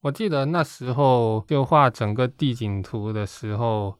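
A man's voice: medium pitch, a little slow, clean tone, no room sound. A man from Hong Kong speaking as an interview guest, casually explaining his work with some hesitation. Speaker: guest comic artist.

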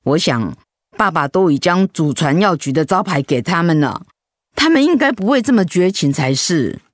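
A woman's voice: lowish voice, very animated, moderate pace, no echo, studio quality. A woman playing an elderly, firm but generous mother-in-law in a radio drama, speaking plainly. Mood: resigned. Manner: scolding, plain-spoken.

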